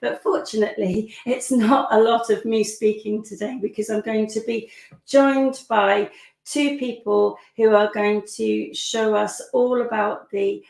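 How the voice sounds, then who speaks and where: A woman hosting an online webinar, with a hoarse, croaky voice and a chatty manner full of fillers. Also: a little high, roomy sound, rough recording. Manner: chatty, warm welcomes with frequent fillers. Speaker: webinar host.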